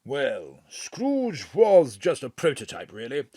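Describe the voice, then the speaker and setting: An actor playing a genial, self-satisfied Victorian author in an audio drama, with a grand, whimsical delivery. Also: male voice, mid-range voice, huge pitch swings, slow, dark tone, slightly rough, no room sound, clean studio sound, heavily acted. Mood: genial, mysterious.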